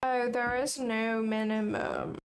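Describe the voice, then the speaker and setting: A woman's voice: on the high side, little pitch movement, takes it slowly. A young adult woman chatting casually on a trading livestream, blunt and sarcastic, swearing freely.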